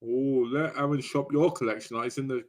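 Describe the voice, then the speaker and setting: A man hosting a casual live stream, playful and animated, with quick interjections. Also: medium pitch. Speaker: host. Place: home setup for a live stream. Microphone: desk mic for a live stream.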